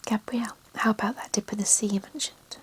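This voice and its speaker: A woman's voice: mid-range voice, fairly even intonation, brisk, close to an everyday voice. A woman whispering the lines of a polite, charming Frenchman in a story reading. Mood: courteous.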